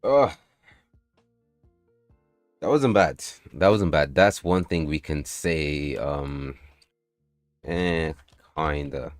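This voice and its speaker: A man with an extremely deep voice talks loosely to his livestream chat while coding and playtesting, swearing freely and breaking into sudden excited outbursts. His voice sounds dry and close, with no room echo. Faint music plays in the background. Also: very slow, long pauses. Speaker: livestreamer and game developer.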